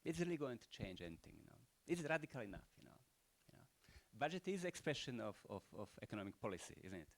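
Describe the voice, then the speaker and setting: A man's voice: mid-range voice, big pitch swings, quick pace, vocal fry, few pauses. An older man with a Croatian accent giving rambling, unscripted closing remarks at a panel event, full of rhetorical questions and "you know".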